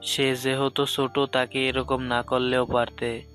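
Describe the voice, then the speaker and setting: A man acts out a police sub-inspector in a romantic audio drama. His mid-pitched voice is flat and even, admonishing in a measured way, with faint music behind. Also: natural voice. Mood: reproachful. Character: reproachful but lenient police sub-inspector. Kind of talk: Bengali audio love-story drama.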